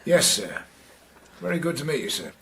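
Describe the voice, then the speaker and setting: An actor playing a polite, probing Victorian Scotland Yard sergeant in a radio drama, questioning people with tact and care. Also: male voice, fairly high pitch, big pitch swings, normal speed, vocal fry, sharp tone, heavily acted. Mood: polite, inquiring. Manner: polite, tactful, careful questioning.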